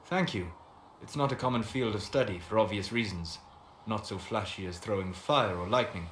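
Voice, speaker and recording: Low-voiced scholarly elf mage, acted by a man. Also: lightly acted. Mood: guarded, courteous. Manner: measured, formal, eloquent. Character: calm scholarly male elf apostate mage, wistful and reserved. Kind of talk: video game dialogue acting. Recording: echoey room with background noise.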